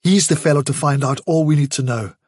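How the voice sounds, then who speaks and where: 남자가 연기하는 침착한 리더 토끼. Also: male voice, a little high, fairly quick, darkish tone, dry recording, studio quality, lightly acted. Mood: resolute. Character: steady, thoughtful young leader rabbit.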